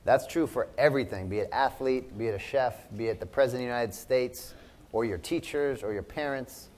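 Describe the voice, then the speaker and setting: A middle-aged man hosts a question session for children with an upbeat, encouraging manner. His voice sits at an ordinary middle pitch. He keeps repeating and rephrasing the kids' questions for the room. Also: brisk, a little mellow. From Chicago. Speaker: event moderator. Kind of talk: hosting a Q&A for children.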